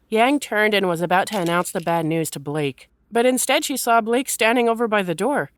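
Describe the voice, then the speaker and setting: A woman reading scripted third-person story narration in an expressive storytelling manner. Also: medium pitch, a little hiss or hum.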